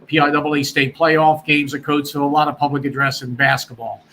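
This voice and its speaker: A man's voice: on the high side, little pitch movement, quick pace, bright, forceful tone, slight background noise. A man, a former radio broadcaster talking as a guest in a rambling, chatty way. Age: 60s. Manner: rambling, chatty, many restarts. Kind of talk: casual chat on a call-in show.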